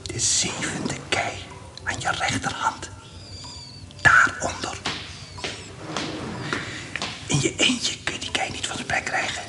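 An actor in a radio play as a condemned prisoner, whispering a secret in a breathy, creaky voice. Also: male voice, takes it slowly, long pauses, close to an everyday voice. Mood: secretive, strained.

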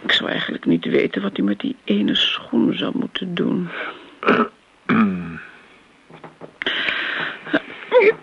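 An actress playing an eccentric, lonely older lady customer in a radio drama, rambling genteelly in a breathy, wistful voice. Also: medium pitch, huge pitch swings, normal speed, exaggerated voice. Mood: confiding. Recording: muffled, noisy old radio play recording.

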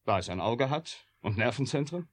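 Actor as impassioned rebel scientist, swooping voice. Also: male voice, mid-range voice, expressive, normal speed, close to an everyday voice. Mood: urgent, questioning. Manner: impassioned, probing rhetorical questions. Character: agitated rebellious male scientist, bitter and suspicious. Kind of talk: 1980 German radio drama acting.

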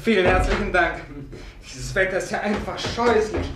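A man's voice: high voice, moderate pace, a crowd in the background, natural voice. A man acts out an eccentric young male guest in an old radio play. He is effusive and affected, prying into everything with gushing curiosity. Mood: indignant chatter. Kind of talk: 1950s German-language radio play acting.

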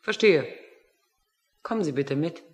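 Radio play: a commanding, questioning woman, notably low-pitched, brisk and insistent. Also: lively intonation. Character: brisk, commanding waitress-turned-interrogator in a satire. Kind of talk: satirical radio play acting.

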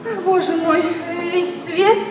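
Proud, impulsive young lady in love; very high voice, emotional verse delivery, roomy distant sound. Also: slow, over music. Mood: cool, evasive. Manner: emotional, theatrical, openly confiding. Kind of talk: classic Russian stage verse, radio play acting. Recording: roomy distant radio play, faint noise.

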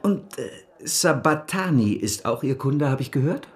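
An actor playing a driven, scheming Parisian financier in a radio play, emphatic and self-assured. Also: male voice, mid-range voice, animated, unhurried, lightly acted. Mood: conversational.

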